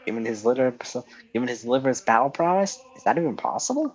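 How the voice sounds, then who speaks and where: Guy streaming a game, casual commentary, average pitch, kinda muffled lo-fi mic. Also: animated, fast talker.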